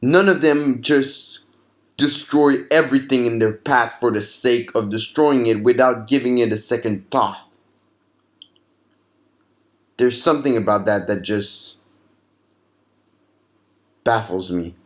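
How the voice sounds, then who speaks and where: A male narrator presents horror fiction as a documented case file. His voice sits at an ordinary middle pitch, in the role of a host guiding listeners through the material. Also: measured pace.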